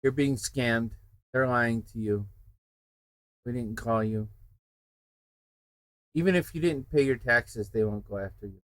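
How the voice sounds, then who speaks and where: An unhurried male game streamer from the United States, chatting with viewers in a loose, digressive way. His voice sits at an ordinary pitch and sounds close and dry, with no room around it. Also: a bit bright, dry recording, low-quality recording. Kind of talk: casual rambling chat while streaming a game.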